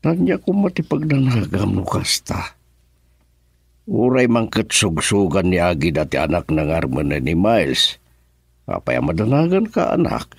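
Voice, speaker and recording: A calm older father in an Ilocano radio drama. His voice is low and gravelly with lively intonation, reassuring, with a Filipino accent. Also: moderate pace, no echo, full character voice.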